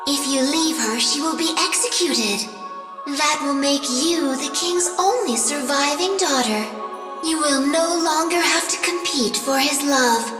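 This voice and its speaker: Tiny warning spirit for a game, high dramatic voice, really echoey. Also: female voice, measured pace. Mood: probing. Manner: pointed, pleading, dramatic. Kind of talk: video game voice acting. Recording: roomy long reverb, music behind.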